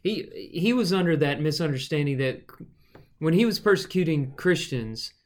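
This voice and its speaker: Man thinking out loud about a bible letter, kinda rambly, cracks a joke now and then. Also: on the high side, animated, moderate pace. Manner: thoughtful, rambling, jokey asides. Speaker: podcast co-host. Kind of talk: casual Bible study discussion.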